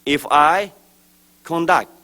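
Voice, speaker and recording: A man from Turkestan, giving a formal prepared speech at a press event, slow, deliberate and emphatic, his phrases trailing off at the ends. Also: on the high side, sharp tone. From East Turkestan.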